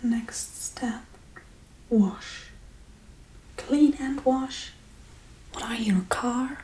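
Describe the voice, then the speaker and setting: A woman playing a scatterbrained, bossy witch in a whispered ASMR role-play, with breathy, casual, deadpan delivery. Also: fairly high pitch, very slow, exaggerated voice. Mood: teasing, musing. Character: scatterbrained, bossy Halloween witch tending her captive.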